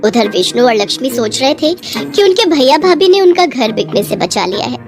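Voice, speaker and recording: A woman's voice: high-pitched, sing-song, moderate pace, over music. A woman narrator reading a scripted moral story.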